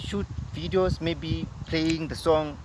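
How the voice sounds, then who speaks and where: A man's voice: a little high, steady tone, slow pace. A young man, a musician chatting casually as a guest. He talks in a laid-back, slangy way. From South Africa, Limpopo. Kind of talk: casual interview chat. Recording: loud outdoor noise with vehicles. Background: loud street and traffic noise.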